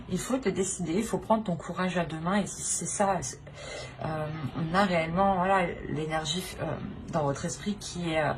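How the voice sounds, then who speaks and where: A woman's voice: fairly low pitch, noisy background. A woman giving an unscripted tarot reading to viewers, searching for words and often rephrasing herself. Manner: hesitant, searching, repeats and rephrases phrases. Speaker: tarot reader, channel host.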